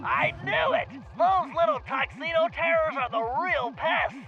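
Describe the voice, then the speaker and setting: Nerdy young male gang planner for an animated game, extremely high register, cautious, precise, lecturing delivery. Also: measured pace, lo-fi sound, faint music behind, full character voice. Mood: peevish. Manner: precise, fussy, explanatory. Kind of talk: animated video game voice acting.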